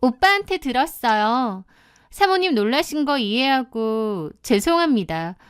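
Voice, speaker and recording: A woman voicing a brazen young mistress in an audio drama, calm, cheeky and mock-polite as she taunts a wife. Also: on the high side, clear, resonant tone, no echo, studio quality. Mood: brazenly polite.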